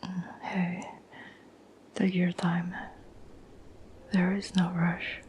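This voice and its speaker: A woman playing a caring, patient girlfriend in an ASMR roleplay, speaking in a soft, breathy whisper with a gentle, reassuring manner. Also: on the low side, completely flat delivery, slight background noise. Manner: gentle, slow, soothing, reassuring.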